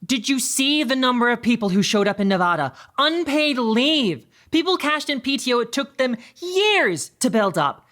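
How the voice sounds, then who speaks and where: A woman's voice: sits high, sing-song, normal speed, few pauses, a bit bright, dry recording, clean studio sound. A woman playing an anxious, persistent doctor who works in communications, hesitant and earnest in a naturalistic audio drama. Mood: anxious, pleading.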